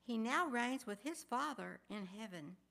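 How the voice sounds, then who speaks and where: A woman's voice: fairly high pitch, lively intonation, a little slow, gravelly, sharp tone. Woman solemnly reading church narration. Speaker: cantata narrator. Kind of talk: scripted scripture reading. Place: large church sanctuary.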